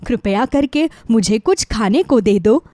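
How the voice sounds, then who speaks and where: A woman voicing a hungry, humble old woman who pleads and gives blessings in an animated children's story. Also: fairly high pitch, a little slow, dry recording, studio quality. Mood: pleading. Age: older.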